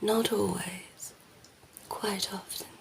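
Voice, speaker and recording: A woman playing a cheeky, flirtatious female vampire in a soft, teasing ASMR roleplay, often slipping into whispers. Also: medium pitch, steady tone, slow pace, gravelly, slight background noise. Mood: reassuring.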